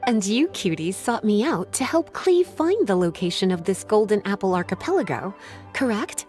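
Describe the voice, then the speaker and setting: A woman playing a poised, teasing, scholarly young librarian in a video game, coy, measured and articulate. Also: medium pitch, animated, a little mellow, close to an everyday voice. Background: music.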